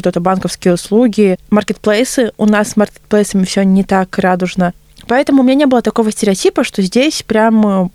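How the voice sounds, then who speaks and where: A woman's voice: mid-range voice, darkish tone, no echo. A woman in her twenties from Latvia, telling her story as an interview guest in a chatty, self-ironic, conversational way. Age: 20s.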